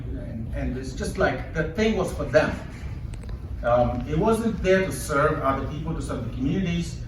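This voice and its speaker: A middle-aged man with a Kenyan accent gives a live speech to an audience, telling personal stories emphatically and repeating phrases for rhythm. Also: fairly high pitch. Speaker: public speaker, leader of an organization. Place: indoor event before an audience. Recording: echoey room, distant mic, background noise.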